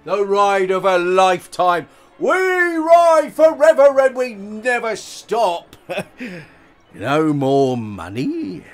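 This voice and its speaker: A man's voice: high-pitched, very animated, slow pace, soft background music, pitched above the performer's own voice, heavily acted. A man voicing a sinister, wheedling fairground showman who coaxes children with a mocking sing-song. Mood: coaxing.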